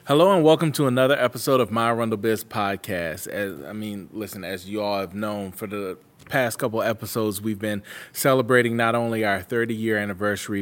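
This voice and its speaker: A man hosting a casual podcast, opening the show and asking questions in a loose, rambling way with restarts. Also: lowish voice. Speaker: podcast host.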